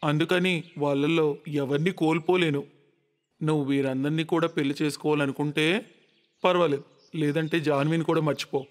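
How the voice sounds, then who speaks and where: Male actor for a stern, dramatic cartoon family man, higher register, emphatic delivery, reverberant hall sound. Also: fairly even intonation, moderate pace, natural voice. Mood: stern, demanding. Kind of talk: dramatic cartoon story dialogue. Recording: long reverberant echo.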